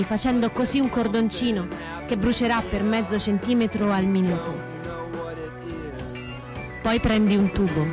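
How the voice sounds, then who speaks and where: An actress playing an earnest, resolute young woman militant in a radio theatre monologue, speaking in measured, declarative short phrases. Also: medium pitch, lightly acted. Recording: music under the voice.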